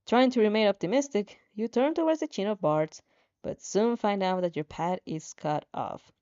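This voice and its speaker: A woman reading a story aloud dramatically in the second person, expressive and informal, sometimes tripping over her words. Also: mid-range voice, normal speed, smooth. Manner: expressive, stumbles over words and giggles at slips. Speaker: fan fiction reader and reactor. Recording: dull lo-fi sound.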